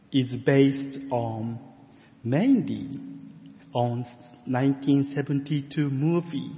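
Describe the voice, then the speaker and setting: A middle-aged man from Japan with a Japanese accent, preaching a sermon as a guest in a church, measured and careful, with gentle laughs. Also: medium pitch. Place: church sanctuary. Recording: muffled sound with long church reverb.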